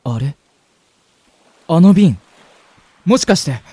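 A man playing a gentle, wistful young university student who narrates a radio drama in an earnest, reflective way. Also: a little high, expressive, slow pace, slightly rough, exaggerated voice. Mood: earnest, wondering. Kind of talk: radio drama acting with first-person narration.

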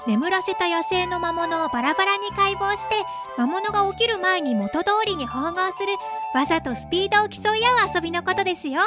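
Sweet polite girl, tiny extremely high voice, cheerful explaining, radio drama, jingly music behind. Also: expressive, a little fast, few pauses. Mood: cheerful, matter-of-fact. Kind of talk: anime-style radio drama acting.

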